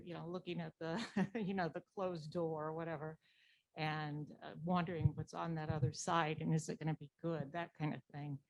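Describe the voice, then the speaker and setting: Lower-voiced woman on dull event audio. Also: a little mellow. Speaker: audience member. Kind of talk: informal spoken comment. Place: live library event room.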